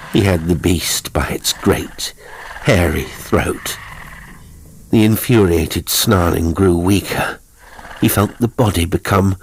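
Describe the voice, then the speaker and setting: A man reading a horror audiobook as narrator, with measured, suspenseful and deliberate delivery. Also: sits low, a little hiss or hum, poor audio.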